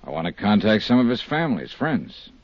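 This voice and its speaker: Tough male investigator, low and lively, direct and probing, vintage radio drama. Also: a little fast, no room sound. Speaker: lead detective and narrator. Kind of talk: 1950s radio detective drama acting. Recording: muffled 1950s radio recording.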